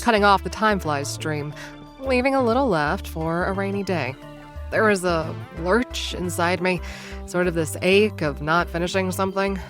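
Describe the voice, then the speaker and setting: A woman narrates a comedic audio drama in the first person. She rambles and stumbles through fillers and drops wry asides to the listeners, with music playing clearly beneath her. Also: lowish voice. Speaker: narrator and lead character.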